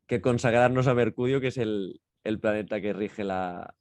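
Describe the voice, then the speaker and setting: A man's voice: lowish voice, moderate pace, a little mellow. A man co-hosting a podcast, chatting casually and explaining things, repeating and rephrasing as he goes. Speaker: podcast co-host. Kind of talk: casual conversational podcast talk.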